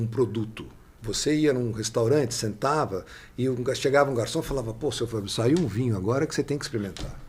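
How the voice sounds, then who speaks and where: A man's voice: medium pitch, husky. A man in his sixties with a São Paulo Brazilian accent, telling stories conversationally as a podcast guest, repeating key phrases for emphasis. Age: 60s. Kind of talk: animated conversational storytelling.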